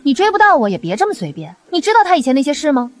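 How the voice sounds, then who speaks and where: A woman's voice: sits high, sing-song, racing. A woman acting a catty, scornful young rich socialite in a Chinese audio drama, with a snobbish, theatrical delivery. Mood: smug, scornful.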